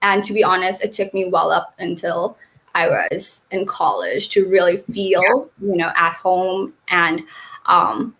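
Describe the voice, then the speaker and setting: A woman's voice: fairly low pitch, unhurried, fairly bright tone, rough recording. A woman in her twenties from Nepal, a guest in a casual interview, friendly and dotted with fillers, on a video call mic.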